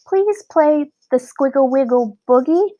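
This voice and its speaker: A woman voicing an eager, curious young male quokka pupil with a squeaky voice in a children's storybook. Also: lively intonation, measured pace, warm tone, dull audio. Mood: delighted. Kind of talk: children's storybook read-aloud.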